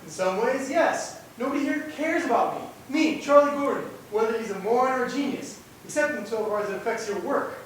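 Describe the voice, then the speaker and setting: A man's voice: fairly quick, hardly any pauses. A young man acting an anguished, bitter genius in an amateur stage play, with earnest, emphatic delivery. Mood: angry. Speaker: student actor. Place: indoor theatre run-through without audience. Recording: echoey hall, distant mic, rumbling noise.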